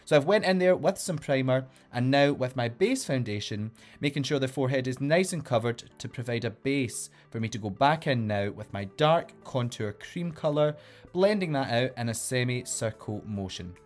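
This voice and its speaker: A man's voice: mid-range voice, animated, normal speed, faint music behind. A man with a Glaswegian Scottish accent, a drag queen hosting a makeup tutorial in an upbeat, playful way and giving step-by-step instructions. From Glasgow, Scotland.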